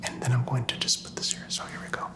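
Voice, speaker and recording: Softly whispering man, ASMR doctor roleplay. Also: fast. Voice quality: soft, breathy whisper. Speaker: ASMR performer playing a doctor. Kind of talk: ASMR roleplay of a medical exam. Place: small doctor's office.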